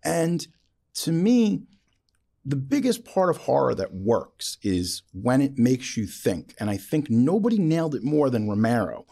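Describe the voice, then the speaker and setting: A mellow man whose pitch moves in a lively, animated way. He hosts a casual interview, speaking with enthusiasm and strong opinions, breaking off into asides. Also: measured pace, gravelly. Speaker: interview host.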